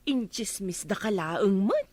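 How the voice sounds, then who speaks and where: An actress playing a tattling, astonished neighbour in an Ilocano radio comedy drama, with an Ilocano accent. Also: female voice, mid-range voice, big pitch swings, takes it slowly, darkish tone, no room sound, studio quality.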